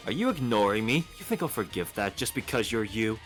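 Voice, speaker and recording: A man voicing a haughty, condescending teenage male student in a video game, curt, measured and impatient. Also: fairly low pitch, very animated, fairly bright tone, faint music behind. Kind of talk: amateur voice acting in a game playthrough.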